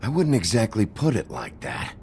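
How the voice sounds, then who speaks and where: A tough, menacing game investigator. His mid-pitched voice is heavily gravelled and moves expressively, though his words stay terse and unimpressed. Faint noise sits behind him. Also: huge pitch swings, normal speed, darkish tone, full character voice. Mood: stern, menacing. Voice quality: very gravelly, gruff. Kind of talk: video game voice acting.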